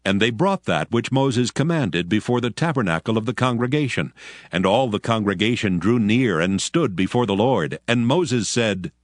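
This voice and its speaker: A man narrating scripture in a steady, reverent, measured way for a dramatized audio Bible. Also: on the low side, dry recording, studio quality. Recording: narration over clear music. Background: music.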